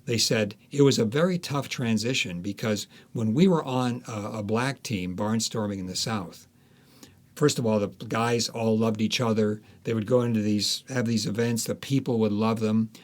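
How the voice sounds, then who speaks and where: A man speaking as an interviewed author, telling stories conversationally with animated retellings and quoted asides. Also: on the low side, normal speed.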